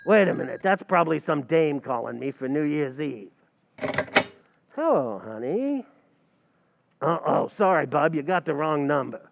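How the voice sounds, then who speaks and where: A man's voice: a little high, lively intonation, unhurried, gravelly, pauses often, background noise. A man playing a brash, know-it-all bartender, mangling big words in an old-time radio comedy recreation. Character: fast-talking, know-it-all New York bartender Archie. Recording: dull, lo-fi sound.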